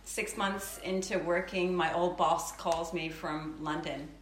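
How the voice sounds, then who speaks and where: A woman's voice: on the low side, fairly even intonation, measured pace, echoey room, slight background noise, poor audio. Name: woman telling a story. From New Zealand. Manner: laughs often, rambling anecdotes. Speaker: guest. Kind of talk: casual storytelling before a live audience.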